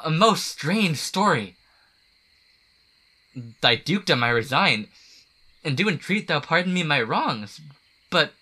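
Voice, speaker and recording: Man playing a slow, bewildered king. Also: a little high, sharp tone, exaggerated voice. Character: bewildered, remorseful king addressing a sorcerer. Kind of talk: Shakespearean radio play acting in verse.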